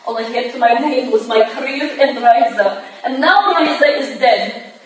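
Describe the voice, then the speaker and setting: A distant, echoey recording of an actress as a proud ageing ballerina in a period stage play. Her high voice is impassioned and desperate, pleading with theatrical anguish. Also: normal speed, natural voice. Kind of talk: staged reading of a period drama. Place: staged reading in a room, recorded from afar. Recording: distant echoey room, faint noise.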